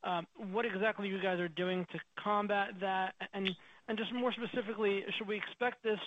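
High-voiced male analyst, dry dull call audio. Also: fairly even intonation, brisk, a bit bright, dry recording. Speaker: financial analyst asking a question. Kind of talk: conversational questioning on an earnings call. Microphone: conference call line.

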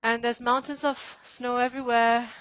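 A woman's voice: a little high, little pitch movement, fairly bright tone, no room sound, highs cut off. A woman in her forties musing aloud for a reflective podcast while walking outdoors on a snowy street. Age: 40s. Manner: slow, musing and meandering. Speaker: podcast host.

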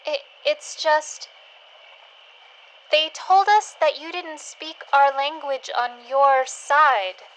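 A woman playing a curious, wistful scavenger in an audio drama, speaking in a gentle, hesitant, questioning way. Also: high-pitched, fairly even intonation, takes it slowly, poor audio. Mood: tentative.

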